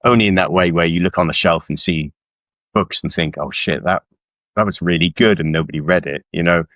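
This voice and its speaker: A man with a very low, deep voice talks in a relaxed interview style as a guest author. He is informal and modest about himself, and the sound is close and dry. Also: a little fast, no room sound. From South Wales. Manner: self-deprecating, informal. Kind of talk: casual interview chat about work.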